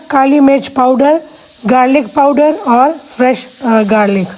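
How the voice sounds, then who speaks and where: A high-pitched woman with a slightly hoarse voice walks viewers through recipes one step at a time. Her tone is mellow and soft-edged, and she chats casually between measurements. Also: rough recording. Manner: patient step-by-step instruction, chatty asides. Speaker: cooking channel host. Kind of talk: cooking vlog voice-over.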